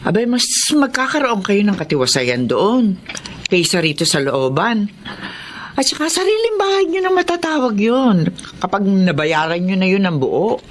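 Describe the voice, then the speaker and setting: A woman's voice: medium pitch, very animated, normal speed, a little hiss or hum, close to an everyday voice. An actress playing a blunt, motherly older neighbor woman in a radio drama. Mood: warm. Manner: blunt, outspoken.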